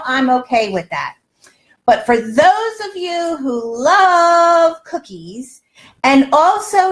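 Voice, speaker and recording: Older female cooking host, on the high side, chatty and exclamatory, talking straight to viewers in a reverberant home kitchen. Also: slight background noise. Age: older, around 60s. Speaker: health coach and cooking show host. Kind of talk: casual live cooking demonstration. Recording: roomy, echoey home kitchen sound.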